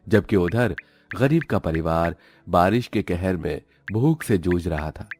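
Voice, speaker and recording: A man's voice: low voice, a little mellow, dry recording. A man narrating a scripted, dramatic story.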